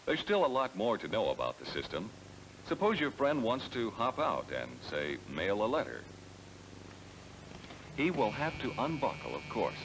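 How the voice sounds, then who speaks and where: Deep-voiced American instructional film narrator, a man. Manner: measured, explanatory, addressing the listener directly. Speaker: narrator of a car sales training film. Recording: noisy 1970s training film soundtrack.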